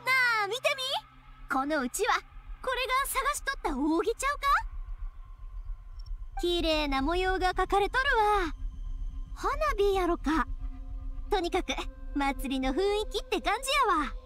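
A woman's voice: very high voice, expressive, a little slow, pauses often. Easygoing young Kansai woman. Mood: curious, admiring. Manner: friendly, easygoing, curious. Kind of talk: Japanese video game voice acting. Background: background music.

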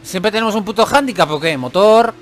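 A man's voice: high voice, lively intonation, a little fast, soft background music. A man giving casual, chatty gameplay commentary who swears and grumbles when things go wrong. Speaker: gaming YouTuber.

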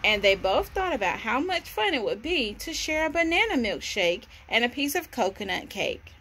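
A woman in her thirties reads a picture book to children. Her voice is high and lively, with bright tone, as she reads outdoors on a porch. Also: moderate pace, husky. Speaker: storybook reader for children. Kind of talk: read-aloud children's story. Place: outdoors on a covered house porch. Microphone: camera or phone at about arm's length.

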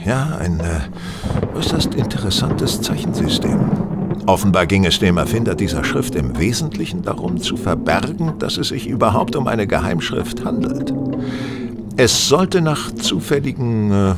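Brilliant sleuth for radio play: precise, faintly condescending, lively intonation, loud background ambience. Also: male voice, fairly low pitch, moderate pace, very noisy. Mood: explanatory, self-satisfied. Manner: precise, authoritative, slightly condescending. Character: brilliant, self-assured, commanding English detective. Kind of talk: German audio drama acting.

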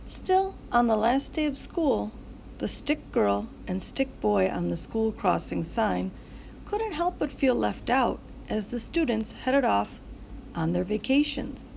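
Animated high-voiced woman reading to children. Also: slow, vocal fry, slight background noise, rough recording. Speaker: teacher reading a picture book aloud. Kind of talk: animated children's read-aloud narration.

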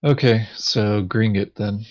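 Slow-talking, lower-voiced man gaming. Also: darkish tone, muffled recording. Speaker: board game player. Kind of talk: casual game chat, thinking through moves.

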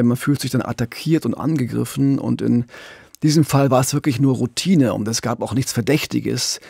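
A man's voice: mid-range voice, creaky, darkish tone, no echo, studio quality. A man co-hosting a true-crime podcast as a reporter, explaining things in a reflective, conversational way.

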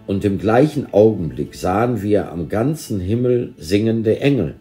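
Brief: a man playing an awed old shepherd grandfather for a storybook, low, solemn and earnest. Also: animated, a little slow, warm tone, soft background music. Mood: earnest awe.

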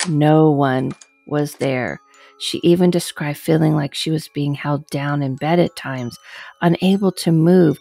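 A woman's voice: deep voice, vocal fry, darkish tone, slight background noise. A woman in her thirties reading a paranormal story aloud, with casual asides, close to the mic in a dim room. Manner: slow, measured reading with asides. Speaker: host reading a guest's story. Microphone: headset and studio microphone close up.

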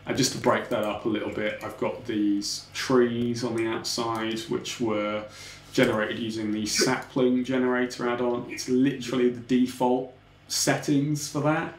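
A man's voice: medium pitch, flat delivery, a little slow. A young man casually talking through his 3D animation work in a tutorial, full of "kind of" and "um" as he clicks through his setup at a desk. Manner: informal, technical, frequent "kind of" and "um" hedges. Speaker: 3D artist explaining his work. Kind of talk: relaxed screen-recorded tutorial walkthrough. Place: small home office. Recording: roomy home office with faint background hum.

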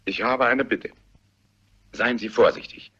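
An actor playing a methodical police inspector who reasons deliberately in a German radio mystery play. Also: male voice, medium pitch, bright, forceful tone, breathy edge. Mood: analytical. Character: probing, methodical male police inspector on a murder case. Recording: muffled, compressed radio play recording.

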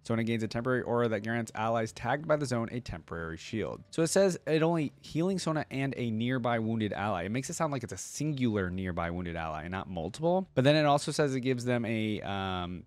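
Low-pitched young man, casual commentary. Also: sing-song, fairly quick, few pauses, no room sound. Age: young adult. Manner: informal, explanatory. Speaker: reaction video host. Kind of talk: casual reaction commentary with some reading aloud. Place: small room indoors at a desk. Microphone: close desk microphone.

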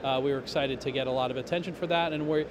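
A man's voice: mid-range voice, fairly even intonation, very fast. A middle-aged man explaining a product in an upbeat way at a trade show booth, talking into a handheld mic, with lots of fillers and restarts. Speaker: company representative. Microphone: handheld interviewer mic. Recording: noisy trade show hall.

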